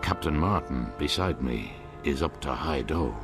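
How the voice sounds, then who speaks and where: A man's voice: extremely low pitch, animated, measured pace, over music, full character voice. A man narrating his own story in a radio drama, in a wry storytelling manner. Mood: reflective. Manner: wry, storytelling, first-person recollection. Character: man looking back on his own wild night.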